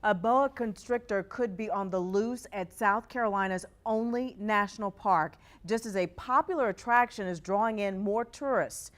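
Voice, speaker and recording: An American woman reading scripted news as a television anchor. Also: medium pitch. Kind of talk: scripted broadcast newsreading.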